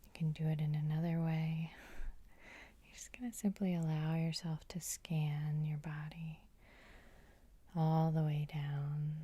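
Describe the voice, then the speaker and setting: A woman hypnotherapist guiding sleep hypnosis in a soothing, unhurried, breathy ASMR whisper, close to the microphone. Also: deep voice, flat delivery, mellow, no echo.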